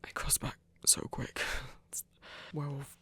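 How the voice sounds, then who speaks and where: An actor playing a shy, insecure werewolf hunter in an intimate ASMR romance, stammering in a breathy, gravelly voice. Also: a little slow, pushed and bright. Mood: bashful. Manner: hesitant, stammering, self-doubting.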